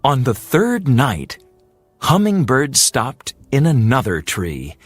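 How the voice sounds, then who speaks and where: A storyteller narrating a fairy tale for English learners in a deliberate, expressive way, drawing out repeated words. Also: medium pitch, breathy edge, dry recording.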